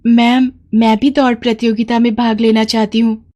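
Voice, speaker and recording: A woman's voice: a little high, flat delivery, moderate pace, warm tone, pitched above the performer's own voice, lightly acted. A woman voicing an earnest, polite schoolgirl in a children's story. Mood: hopeful. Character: eager, earnest dark-skinned schoolgirl runner.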